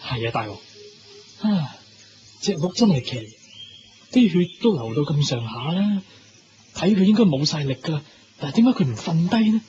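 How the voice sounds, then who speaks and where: A man's voice: fairly high pitch, very animated, moderate pace, long pauses, dark tone. A man with a Hong Kong Cantonese accent playing an earnest, deferential royal guard in a radio drama. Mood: deferential, curious. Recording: dull lo-fi radio recording. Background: music.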